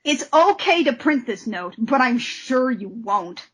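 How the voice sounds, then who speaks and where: A woman co-hosting a comic discussion podcast, expressive and theatrical when she reads aloud. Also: on the high side, measured pace, slightly rough. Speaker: podcast co-host. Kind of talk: comic discussion podcast with dramatic reading of letters.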